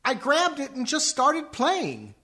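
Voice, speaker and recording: Man acting as young musician son, radio comedy: earnest, melodramatic, very animated and bright. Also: very high voice, slow pace, full character voice. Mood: sheepish confession. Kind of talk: comic radio play acting.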